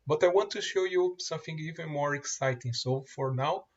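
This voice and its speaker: Man, native Portuguese speaker, software demo, husky, hesitant with fillers, dull lo-fi mic. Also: fairly high pitch. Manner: halting, many fillers and restarts. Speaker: software presenter. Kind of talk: screen-share product demo.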